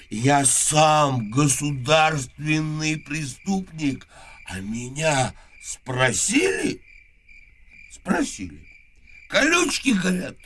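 An actor playing a drunken, bitter old inventor priest in a radio play, hoarse and rambling in slurred, halting bursts. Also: male voice, a little high, heavily acted. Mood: bitter, anguished. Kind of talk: Russian radio drama acting.

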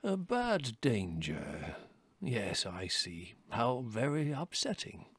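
A man's voice: lowish voice, sing-song, a little slow, fairly bright tone, breathy edge, no room sound, exaggerated voice. A man voicing an aging chief rabbit in a storybook, drawling, over-polite, detached and condescending. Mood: mildly condescending. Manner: drawling, over-polite, unruffled, with musing repetitions.

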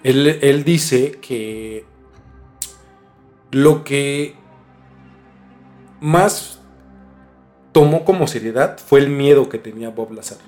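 A man's voice: mid-range voice, takes it slowly, pauses often, darkish tone, faint music behind. A young Mexican man telling a story as a podcast host in a casual, slangy conversational way. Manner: informal, slangy.

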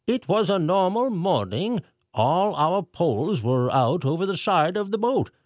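A man's voice: high-pitched, very animated, unhurried, a little mellow, dry recording, clean studio sound, pitched above the performer's own voice. A man voicing a flustered male town mayor in a storybook, reading a warning letter aloud in a raised, urgent voice. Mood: alarmed.

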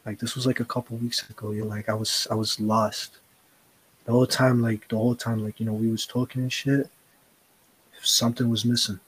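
A man's voice: mid-range voice, flat delivery, brisk, a little hiss or hum, low-quality recording. A young man co-hosting a podcast, talking earnestly in casual chat, rambling with slang and swearing. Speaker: podcast co-host.

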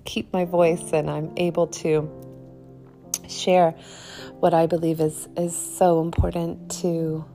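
A woman with a low, mellow voice hosts a faith podcast at an unhurried pace. She sounds a little croaky from a cold, and her delivery stays earnest and flat, with phrases that trail off softly. Faint music plays underneath. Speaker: podcast host. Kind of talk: devotional podcast talk and prayer.

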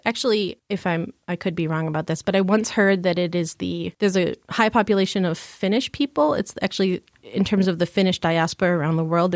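A woman's voice: fairly low pitch, no echo, studio quality. A woman from Michigan, a guest in a casual interview, talking in a chatty way with lots of "like" and frequent laughs. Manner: chatty, laughs often, many "like" fillers.

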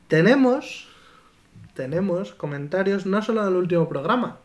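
High-voiced laughing man. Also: lively intonation, moderate pace. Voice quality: higher voice than his co-host. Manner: laughs often, reads listener comments aloud. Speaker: podcast co-host. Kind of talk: casual chat.

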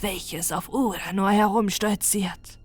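A woman's voice: mid-range voice, fairly even intonation, slightly rough, soft background music. Woman whispering as a scornful otherworldly male being. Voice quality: airy unvoiced whisper, slow and drawn out.

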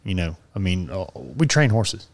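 A man's voice: low voice, big pitch swings, normal speed. A man co-hosting a horse podcast, speaking earnestly and openly praising his guest. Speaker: podcast co-host. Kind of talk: casual interview chat.

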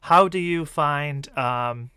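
A man's voice: on the high side, takes it slowly, pushed and bright, clear, resonant tone, no echo, clean studio sound. A man with a Yorkshire accent hosting a podcast interview, chatty and friendly, laughing easily. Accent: Northern English (Yorkshire). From northern England. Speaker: podcast host. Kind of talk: casual interview chat.

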